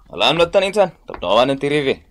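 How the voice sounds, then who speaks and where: A man with an Ilocano accent playing a calm, placating cousin in a radio drama. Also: on the high side, fast. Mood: pacifying. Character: calm peacemaking male cousin back from prison.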